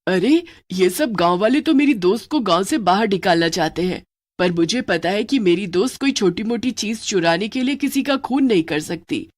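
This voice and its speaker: A woman's voice: medium pitch, animated, normal speed, close to an everyday voice. A woman voicing a loyal female witch who scolds her friend with urgency. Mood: worried. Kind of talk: cartoon voice acting.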